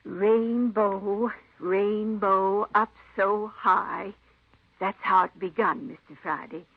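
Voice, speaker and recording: An actress playing a folksy, forgetful, kindly elderly aunt who rambles in an old radio drama. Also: female voice, medium pitch, steady tone, slow pace. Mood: proud, doting. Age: older. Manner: rambling, folksy rural phrasing. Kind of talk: 1950s radio drama acting. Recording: dull old radio recording.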